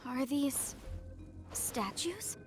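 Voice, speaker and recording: Squeaky, high-pitched little fairy companion. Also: female voice, animated, very slow, exaggerated voice. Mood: excited, curious. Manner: exclamatory, wide-eyed. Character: small, squeaky, excitable girlish fairy companion. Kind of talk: cartoonish voice acting for a fantasy game. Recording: game dialogue under loud music.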